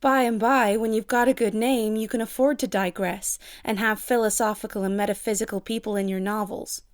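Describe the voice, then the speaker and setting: A woman's voice: mid-range voice, steady tone, brisk, natural voice. A woman playing a pert, worldly young sister in a period audio drama, with a matter-of-fact manner. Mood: practical.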